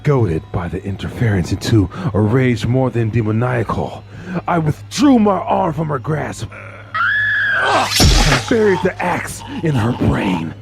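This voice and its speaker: A man's voice: medium pitch, very animated, takes it slowly, raised voice, over music, pitched above the performer's own voice, exaggerated voice. A man playing a tormented, brooding murderer in a theatrical Gothic horror monologue. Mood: frenzied rage. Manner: theatrical, swings between breathy whispers and furious outbursts. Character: tormented, brooding murderer confessing with growing madness.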